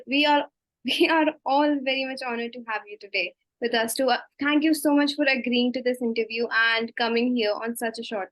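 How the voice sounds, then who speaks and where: A woman's voice: sits high, fairly bright tone, no room sound, rough recording. A young woman from India with an Indian English accent, hosting an interview politely and stumbling over a few words. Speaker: interviewer, host.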